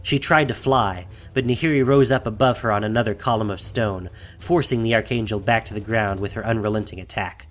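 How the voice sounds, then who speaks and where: A man's voice: on the low side, a little fast, hardly any pauses, fairly bright tone. A man reading scripted fantasy fiction as the narrator of an audio drama, in a dramatic storytelling style. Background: faint music.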